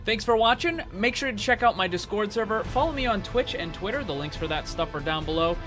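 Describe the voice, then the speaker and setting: A man's voice: high voice, animated, fairly quick, over music. A man hosting gaming news, speaking in an emphatic, informal way straight to his viewers. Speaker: gaming news channel host. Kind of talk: upbeat scripted gaming news narration.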